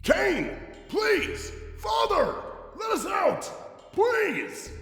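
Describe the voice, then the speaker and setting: A man voicing an enraged, reckless younger vampire brother in a dramatic story reading, shouting and screaming with strain. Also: very high-pitched, wildly swooping pitch, very slow, voice pushed up, heavily acted. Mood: desperate screaming. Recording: echoing long reverb, music underneath.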